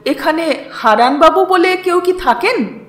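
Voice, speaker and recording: A woman plays a lanky, timid tutor in a storybook reading. The voice is high, hesitant and polite, with slow phrasing. It sits in a roomy echo with music underneath. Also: animated, fairly bright tone, full character voice. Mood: polite, inquiring. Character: timid, humble, lanky man seeking tutoring work.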